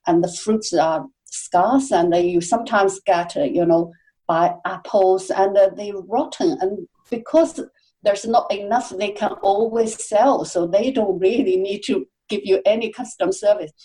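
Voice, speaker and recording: Female podcast guest; mellow voice, thoughtful and halting delivery. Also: mid-range voice, poor audio. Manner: halting, many fillers and restarts. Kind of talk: conversational interview answers.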